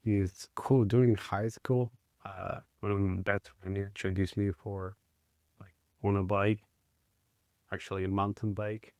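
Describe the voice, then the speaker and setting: A man in his thirties from Chile, with a Chilean Spanish accent, talking as a guest in a casual interview, halting and full of fillers and restarts. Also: on the low side, level tone, darkish tone, dry recording, clean studio sound. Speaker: guest, mountain bike guide.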